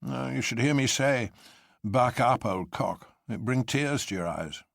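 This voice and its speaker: A man voicing a gloomy professor from a storybook, who speaks slowly and sadly as a paralytic old man would, though merry inside. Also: medium pitch, animated, gravelly, fairly bright tone, dry recording, studio quality. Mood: dismal, earnest. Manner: slow, sad speech belying boyish merriment. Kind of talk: dramatised audiobook storytelling.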